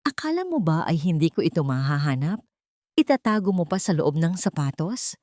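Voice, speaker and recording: A woman's voice: deep voice, sing-song, a little fast, a little mellow, no room sound, clean studio sound. A woman voicing a callous, greedy gambler husband, snarling and insistent, in an audio drama.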